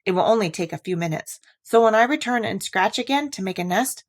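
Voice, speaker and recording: A woman voicing a timid, polite little male Easter bunny from a storybook, quavery, gentle and earnest. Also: medium pitch, expressive, a little fast, close to an everyday voice.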